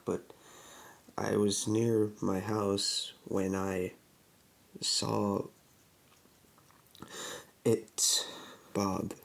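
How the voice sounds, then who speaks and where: A man's voice: on the low side, gravelly. A man playing a nervous young survivor of a monster attack, stammering and hesitant as he recounts it. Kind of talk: horror parody monologue.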